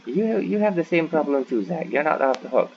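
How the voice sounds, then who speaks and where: A man giving casual, halting game playthrough commentary, often repeating phrases for emphasis. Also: fairly high pitch, lively intonation, gravelly, dull audio, slight background noise. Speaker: gaming video host.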